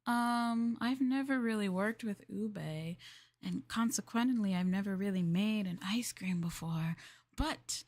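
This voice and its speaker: A woman streamer chatting casually in a maid roleplay, rambling and laughing softly as she addresses her master. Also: mid-range voice, unhurried. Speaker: VTuber streamer.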